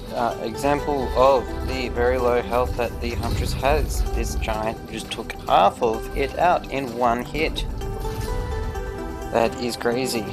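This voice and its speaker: Man, gaming host, gameplay commentary, average pitch, measured formal speech, game music underneath. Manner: careful, formal phrasing with few contractions.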